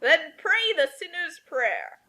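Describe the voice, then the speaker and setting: Woman playing a proud evangelist giant, storybook parody, slow solemn delivery, high bright voice. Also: sing-song, exaggerated voice. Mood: earnest urging. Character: proud, beaming evangelist giant groundskeeper, a man.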